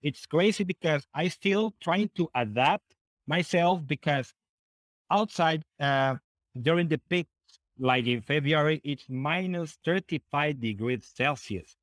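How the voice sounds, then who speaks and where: Honduran man speaking halting, accented English. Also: on the high side, fairly bright tone, no room sound. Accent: Honduran Spanish. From northern coast of Honduras. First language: Spanish. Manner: halting, repeats words while searching for English phrasing. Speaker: podcast guest. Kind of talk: casual interview conversation.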